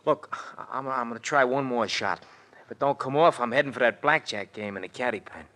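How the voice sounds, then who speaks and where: A man's voice: mid-range voice, fairly quick, natural voice. An actor playing a brash, wisecracking male sidekick who grumbles in loose slang, in 1940s radio drama style. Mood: fed up. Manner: slangy, ungrammatical, grumbling, animated. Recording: vintage golden-age radio recording.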